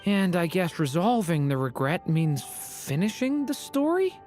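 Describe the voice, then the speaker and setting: Soft shy young dragon in human form, low-ish voice, clear music behind. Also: female voice, very animated, measured pace. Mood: curious. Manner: polite, gentle and a little shy. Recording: game audio with music underneath.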